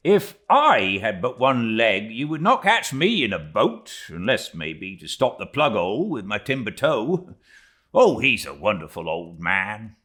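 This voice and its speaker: A man's voice: on the low side, sing-song, a little slow, fairly bright tone, voice pushed up, heavily acted. A man voicing a jolly, boisterous ship's mate in a sea novel, exclaiming and teasing. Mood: amazed, admiring. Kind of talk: classic sea novel read aloud.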